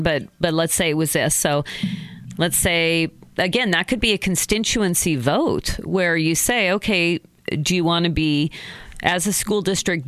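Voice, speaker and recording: A school board member talking in a casual interview, earnest and quick to laugh, with many small restarts. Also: medium pitch, fairly bright tone, smooth, no echo.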